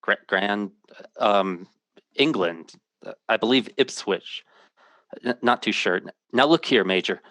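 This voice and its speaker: A man's voice: lowish voice, takes it slowly, long pauses, a bit bright, dry recording. An actor playing a worried, impatient American man who searches for his missing brother and narrates it in radio drama style, earnest and clipped. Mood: hesitant, then impatient, indignant. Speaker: lead actor and first-person narrator.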